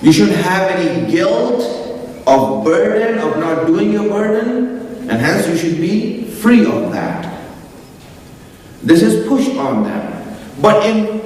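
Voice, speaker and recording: A man's voice: high voice, very animated, takes it slowly, darkish tone, slight background noise. A man giving an earnest religious lecture at an Islamic center, driving points home by repeating phrases. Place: large hall of an Islamic center. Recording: echoey hall, mic heard from far off.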